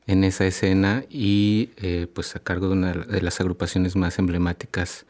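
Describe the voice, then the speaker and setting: A man co-hosting a radio show on salsa, speaking calmly with a few hesitant fillers. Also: low-pitched. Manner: calm, measured, hesitant fillers. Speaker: radio co-host and salsa researcher. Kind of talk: casual radio talk. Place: radio studio.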